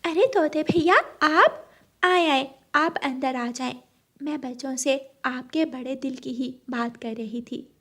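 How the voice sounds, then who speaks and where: A woman voicing a polite mother sparrow in a Hindi cartoon story, with a soft, pleading manner. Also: sits high, slow pace, a little hiss or hum. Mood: welcoming.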